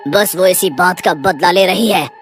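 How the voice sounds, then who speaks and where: A woman's voice: on the low side, a little slow, faint music behind. A woman voicing a solemn, fatherly tantrik holy man in a cartoon story, grave and instructive. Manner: grave, instructive, addresses others as child.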